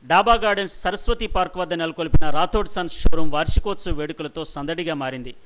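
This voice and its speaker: A man narrates a scripted news report in a somewhat high voice. He delivers it as a reporter's voice-over, sentence after prepared sentence. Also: quick pace, a bit bright, a little hiss or hum.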